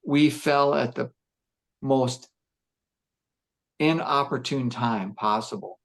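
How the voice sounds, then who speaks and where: A man with a gruff voice, a musician chatting casually on a podcast, reflective and rambling with many fillers and restarts. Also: medium pitch, slow pace, a bit bright. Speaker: musician discussing his band.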